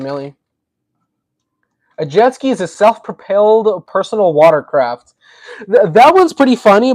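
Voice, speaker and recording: A man's voice: high voice, darkish tone, no echo. Chatty man with a slight Russian accent. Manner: chatty, laughs often, answers chat comments. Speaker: livestream host. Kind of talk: casual livestream chat while building.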